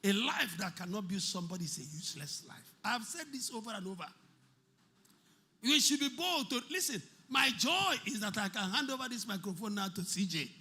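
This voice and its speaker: A man preaching to his congregation in a strikingly high voice. His tone is very bright and sharp. He repeats his points and keeps asking the listeners questions. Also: expressive, brisk. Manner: conversational preaching with repeated phrases and rhetorical questions. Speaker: pastor. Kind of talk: sermon.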